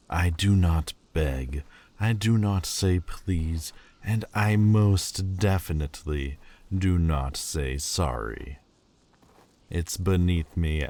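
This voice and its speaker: A prickly, proud Lord of Demons, played by a man in a fantasy audio roleplay. His voice sits extremely low and he takes his time over every word. He sounds haughty and sardonic, with a grudging tenderness underneath. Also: darkish tone, heavily acted. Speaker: voice actor.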